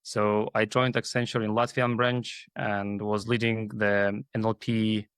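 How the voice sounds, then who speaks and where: A man's voice: on the low side, flat delivery, unhurried, fairly bright tone, clean tone, dry recording, studio quality. A man from Kazakhstan speaking as an interview guest, explaining his work in a hesitant way full of filler phrases. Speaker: guest, AI team leader. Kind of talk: interview talk.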